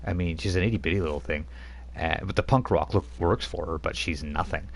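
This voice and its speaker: A very deep, gravelly man running a tabletop game, who explains rules and narrates scenes. His narration rambles, with restarts and fillers. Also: fairly quick. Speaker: game master.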